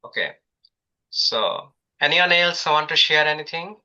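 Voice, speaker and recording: A man's voice: fairly high pitch, expressive, unhurried, sharp tone. A man presenting a workshop on a video call, hesitant and full of filler sounds. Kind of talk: online professional development talk. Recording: dull lo-fi video call audio.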